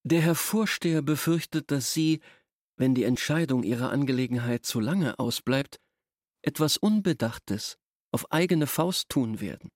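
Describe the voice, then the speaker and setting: A man's voice: medium pitch, a little slow, no room sound, lightly acted. Guy acting a prim grumpy village schoolmaster, formal and disapproving, mid voice, radio play, dry sound no room. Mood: officious, disdainful. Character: stiff, resentful village schoolteacher delivering official messages. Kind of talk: German radio drama acting.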